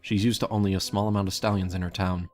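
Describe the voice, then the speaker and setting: Low voiced guy narrating a fanfic, soft music behind. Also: level tone, fast. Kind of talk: scripted fan fiction narration.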